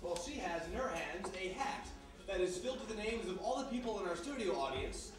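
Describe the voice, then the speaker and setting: Very echoey, high-voiced male radio announcer. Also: expressive, a little fast, a crowd in the background. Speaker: announcer and quiz host. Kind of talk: live old-time radio show announcing. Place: live performance before studio audience in historic house. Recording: very echoey, noisy, distant live room.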